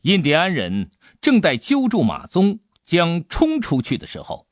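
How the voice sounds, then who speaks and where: 一位男性有声小说演播者，用富有悬念和表现力的方式讲述故事。. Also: male voice, fairly high pitch, measured pace, dry recording, studio quality. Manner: suspenseful, expressive delivery. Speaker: audiobook narrator. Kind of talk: dramatic scripted storytelling.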